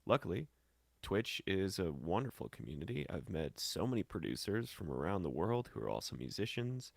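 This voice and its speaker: American guy chatting casually on a show, lowish voice with lots of fry, slangy. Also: big pitch swings, moderate pace, dry recording. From St. Louis, Missouri, United States. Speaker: podcast guest, singer and musician. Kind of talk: casual conversational storytelling.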